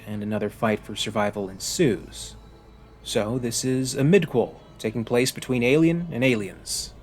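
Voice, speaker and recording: A man's voice: medium pitch, a little hiss or hum. A man narrating a scripted video essay as the host of a fan review channel.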